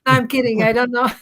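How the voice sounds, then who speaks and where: A woman's voice: high-pitched, fairly bright tone. A woman from France with a French and Hebrew accent, chatting as a guest author and laughing often. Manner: laughs often, slightly non-native phrasing. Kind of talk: casual interview chat.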